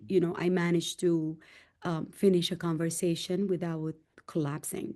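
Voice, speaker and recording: A middle-aged woman with a Sudanese accent tells her own story as a guest on a podcast. Her voice sits low and mellow, soft at the edges. She presses hard on the words that matter to her, climbing higher when she stresses a point. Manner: emphatic and heartfelt, rising in pitch on key points. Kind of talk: candid conversational storytelling.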